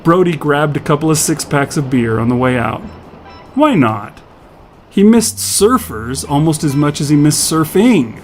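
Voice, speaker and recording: A man's voice: fairly high pitch, expressive, unhurried, darkish tone, soft background music. A man narrating scripted fiction as a storyteller.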